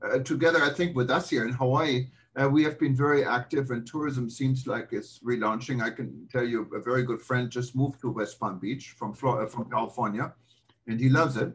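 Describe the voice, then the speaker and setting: Man hosting, halting with fillers. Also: mid-range voice, fairly even intonation. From Germany. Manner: hesitant, many fillers. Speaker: host. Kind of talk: online panel moderation. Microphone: video call.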